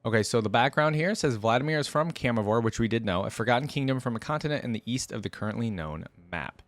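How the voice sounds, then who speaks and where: A man's voice: medium pitch, lively intonation, fast, no room sound. A man hosting a reaction video with casual, informal commentary, musing aloud into a close microphone.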